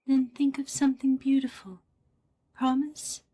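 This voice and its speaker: A woman voicing a wistful, innocent young girl in a video game, soft and breathy. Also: high voice, level tone, unhurried. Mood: wistful, pleading.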